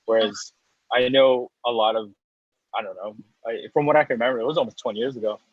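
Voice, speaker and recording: A man's voice: medium pitch, steady tone, fast talker, slightly rough, dry recording, narrow, tinny audio. A man in his thirties, a guest in a casual interview, rambling through his story with restarts and small laughs. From United States. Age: 30s. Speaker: guest, coffee roaster and business owner.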